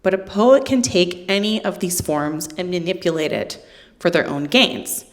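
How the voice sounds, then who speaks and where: A middle-aged woman giving a live literary reading in a hall, with deadpan, wry humour and casual asides to the listeners. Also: lowish voice, normal speed. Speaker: poet reading from own book.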